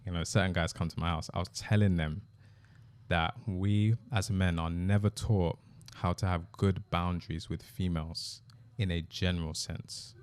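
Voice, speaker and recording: A young man speaks as a podcast guest. His voice is notably low, with a dry sound free of room echo. The delivery is casual and conversational. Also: fairly even intonation, normal speed, clear, resonant tone. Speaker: podcast guest, host's fiancé. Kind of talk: casual chat.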